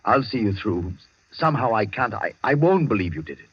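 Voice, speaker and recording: An actor playing a well-mannered, loyal and flustered gentleman, hesitant and stammering, in 1940s radio style. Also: fairly low pitch, big pitch swings, fairly quick, slightly rough, dry recording. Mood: troubled, loyal. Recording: dull vintage 1940s radio recording.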